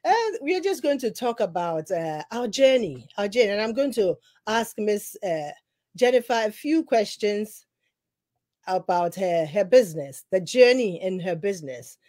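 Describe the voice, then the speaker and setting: A woman's voice: mid-range voice, very animated, moderate pace. Woman interviewer with hesitant fillers. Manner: warm affirmations, hesitant fillers. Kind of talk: casual live interview.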